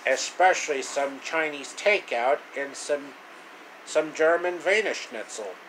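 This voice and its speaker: Man doing a slow, chummy wisecracking sewer rat. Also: on the high side, rough recording. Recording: steady air-conditioning noise.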